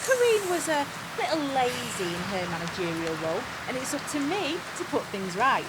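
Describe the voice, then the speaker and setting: Bossy, high-voiced, animated manager. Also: female voice. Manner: officious, patronising, pointed. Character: bossy, self-important female workplace manager who gossips. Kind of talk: audio comedy drama acting. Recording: clear rain and outdoor ambience noise.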